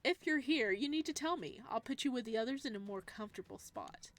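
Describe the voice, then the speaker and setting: A woman's voice: on the high side, lively intonation, brisk, lightly acted. A woman voicing a powerful deaf and blind male captor in a visual novel, curious, hesitant and eerily polite. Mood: calling out, polite.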